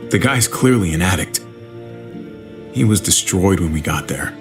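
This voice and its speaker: A steady, reassuring male survivor who leads with earnest calm. His voice is fairly low, and music plays clearly behind him. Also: moderate pace. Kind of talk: video game voice acting.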